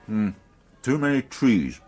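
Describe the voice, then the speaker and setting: An actor playing a gloomy, middle-aged, dry-witted police inspector in a naturalistic radio drama. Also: male voice, medium pitch, big pitch swings, slow, darkish tone, breathy edge, soft background music, full character voice. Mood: grumbling, wry. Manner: grumbling, understated, dryly sardonic.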